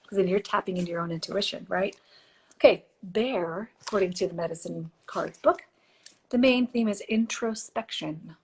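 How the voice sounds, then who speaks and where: A woman hosts a live stream, chatting and giving oracle card readings in a gentle, unhurried way. Her voice is breathy and slightly hoarse, and it sits at an ordinary middle pitch. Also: poor audio. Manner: warm, chatty, reads card texts softly. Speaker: podcast host and intuitive reader. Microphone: phone or computer mic for a live stream.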